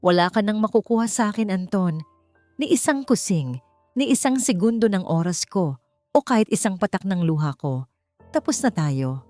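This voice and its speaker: A woman voicing a wronged wife who speaks with measured, firm emotion in an audio drama. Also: mid-range voice, lively intonation, mellow, no room sound, soft background music, close to an everyday voice. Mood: cold, resolute. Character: dignified wronged wife, calm, firm and resolute. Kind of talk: Tagalog audio drama acting.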